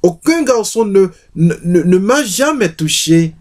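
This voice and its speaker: A man's voice: high-pitched, very animated, measured pace, darkish tone, pitched above the performer's own voice, exaggerated voice. Man voicing a shy, earnest young peasant woman. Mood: earnest, hesitant. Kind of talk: dramatized storybook reading.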